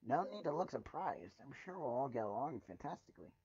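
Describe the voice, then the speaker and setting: A man's voice: medium pitch, sing-song, fairly quick, darkish tone, slightly rough, full character voice. A man voicing a confident, friendly young female unicorn with a gracious, teasing manner in a storybook reading. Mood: pleased. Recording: muffled, roomy home recording.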